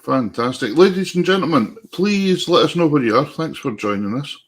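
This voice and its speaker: A man's voice: on the high side, lively intonation, normal speed. A Scottish man hosting an online music show, chatting casually in a folksy, informal way. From Scotland. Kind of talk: casual live chat.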